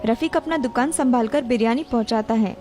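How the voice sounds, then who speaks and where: A woman's voice: fairly high pitch, brisk, mellow. A woman reading a scripted children's story as narrator, with music behind her. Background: background music.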